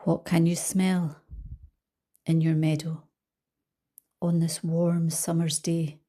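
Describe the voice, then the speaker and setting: A woman leading a guided visualisation as a coach, speaking calmly and gently with soft trailing phrases. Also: low voice, slow. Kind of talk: guided meditation narration.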